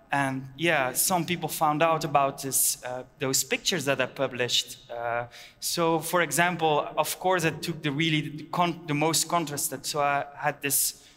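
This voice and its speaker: A man talks from a conference stage, his voice bright and forward and a little higher than most men's. He speaks matter-of-factly and haltingly, with frequent uhs. A headset mic picks him up, and the reverb of the large hall trails behind his words. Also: a little hiss or hum. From Belgium. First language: Dutch. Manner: halting, many filler uhs, plain and matter-of-fact. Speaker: keynote speaker. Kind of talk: conference keynote talk.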